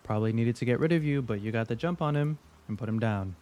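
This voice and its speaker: A man's voice: medium pitch, a little mellow, close to an everyday voice. A man playing a smug veteran investigator in an audio drama, wry and probing as he interrogates. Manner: wry, needling, deliberate.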